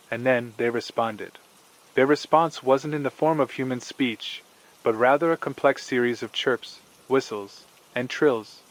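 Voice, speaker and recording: Measured male horror story narrator. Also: medium pitch, low-quality recording.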